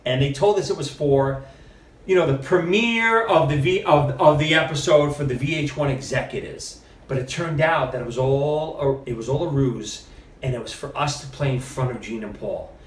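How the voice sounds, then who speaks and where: A middle-aged man tells stories with plenty of energy, acting out other people's lines as he goes. His voice sits at a middle pitch. He sounds a little far from the mic in a room with noticeable echo. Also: moderate pace. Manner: animated storyteller, quotes others with lively shifts. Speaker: podcast guest. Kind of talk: casual storytelling interview. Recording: roomy, mic at a distance.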